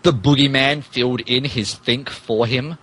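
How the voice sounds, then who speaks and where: A man with a husky, mid-pitched voice narrates fan fiction on a podcast. He reads it as comedy and slips in wry asides. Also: steady tone, unhurried, fairly bright tone.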